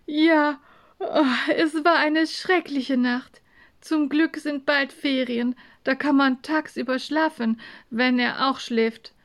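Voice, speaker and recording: Woman voicing a weary, high-pitched schoolgirl. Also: takes it slowly. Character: exhausted, sleepy schoolgirl, polite and grateful.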